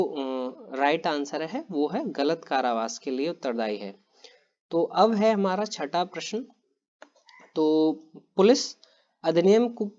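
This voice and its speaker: Man clearing his throat between quiz answers. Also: sits high, highs cut off. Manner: steady and plain, repeats each answer, frequent throat clearing. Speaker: exam-prep teacher. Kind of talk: reading quiz questions and answers aloud.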